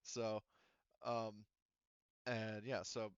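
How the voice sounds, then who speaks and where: A man's voice: fairly low pitch, little pitch movement, very slow, clean tone, no echo, highs cut off. A man casually narrating a tabletop roleplaying game as game master, rambling and thinking aloud as he improvises.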